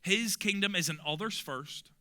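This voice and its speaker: A young adult man from Belfast with a Belfast accent, preaching a sermon earnestly and emphatically, often dropping to soft, quiet endings. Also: sits high, moderate pace. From Northern Ireland. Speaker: pastor.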